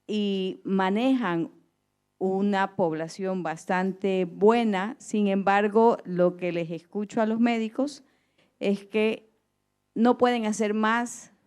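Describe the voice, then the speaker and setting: Ecuadorian lady, really smooth clear voice, commenting off the cuff in a class discussion. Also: mid-range voice, fairly even intonation, slow, darkish tone. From Guayaquil, Ecuador. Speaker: participant in a health seminar.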